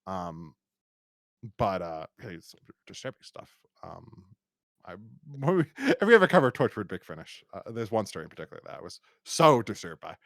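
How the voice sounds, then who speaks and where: A man with a fairly low voice and very animated intonation chats as a podcast host. He rambles through a casual review, often restarting his phrases. The sound is close and dry. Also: dry recording. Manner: rambling, halting with repeated false starts.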